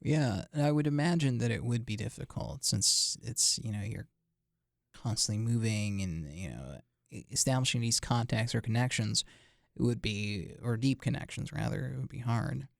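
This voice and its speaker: A man hosting a podcast interview, asking questions in a halting way and answering with frequent "yeah" and "mm-hmm". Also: medium pitch, gravelly, dry recording, clean studio sound. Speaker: podcast host. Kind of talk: casual interview.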